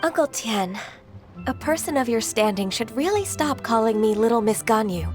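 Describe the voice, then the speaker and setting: An actress playing a dutiful, modest secretary in a video game, formal, composed and deferential. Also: female voice, on the high side, big pitch swings, moderate pace, over music. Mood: respectful. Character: polite, modest, dutiful young-sounding woman secretary.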